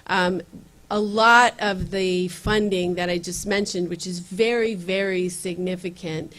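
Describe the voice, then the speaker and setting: A county legislator, a woman, addresses a community meeting in a room and pauses often with fillers and restarts. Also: lowish voice, steady tone, fairly bright tone. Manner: earnest, with frequent fillers and restarts. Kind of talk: campaign speech at community meeting.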